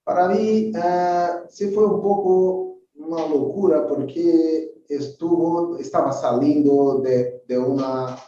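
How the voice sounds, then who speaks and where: A man with a Brazilian Portuguese accent chats as a guest over a remote call, speaking Spanish as a second language. He talks in a halting way, repeating words as he searches for them. His voice is very dark and mellow, and it sounds distant and muffled in a strongly echoing room. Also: a little high, faint music behind. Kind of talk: casual interview chat.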